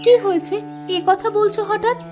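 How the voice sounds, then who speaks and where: A woman's voice: very high-pitched, steady tone, normal speed, a little mellow, faint music behind. A woman voicing an anxious, doting and easily hurt young village wife in a cartoon story. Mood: concerned. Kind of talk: Bengali cartoon story voice acting.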